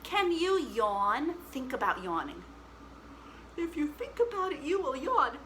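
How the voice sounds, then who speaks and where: A woman with a high, bright voice leads a storytime for young children. She is animated and encouraging, addressing the children directly in a playful way. There is clear background noise in the recording. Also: unhurried, rough recording. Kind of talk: interactive children's musical storytime.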